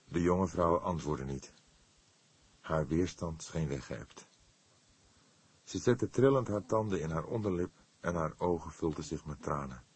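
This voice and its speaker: Deep, husky male audiobook narrator. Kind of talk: dramatic scripted narration of a crime novel.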